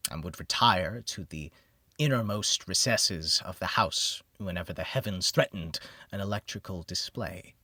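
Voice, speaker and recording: A man narrating a gothic horror story in the first person, with a measured, theatrical and formal literary delivery. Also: deep voice, a bit bright, no room sound, clean studio sound. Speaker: audiobook narrator.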